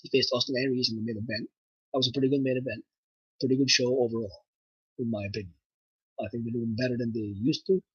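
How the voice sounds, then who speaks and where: A young man with a French Canadian accent chats on his livestream, rambling and relaxed. His voice sounds bright and slightly husky. The dry, dull home mic gives it a lo-fi feel. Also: mid-range voice, fast talker, long pauses, dry recording. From Nova Scotia, Canada. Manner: rambling, relaxed, with frequent fillers. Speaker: livestream host. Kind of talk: casual livestream chat.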